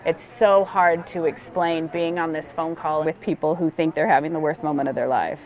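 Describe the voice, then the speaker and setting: A woman's voice: sits low, steady tone, moderate pace, a little mellow. A middle-aged American woman speaking earnestly and plainly in an interview as a supervising dispatcher. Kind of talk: candid documentary interview about work. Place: dispatch center office, indoors. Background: music.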